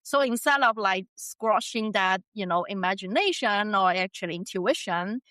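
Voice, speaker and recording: A woman's voice: medium pitch, lively intonation, moderate pace, fairly bright tone, no echo. A woman from China, chatting as an interview guest and laughing often between her points. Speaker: podcast guest. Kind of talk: casual interview conversation.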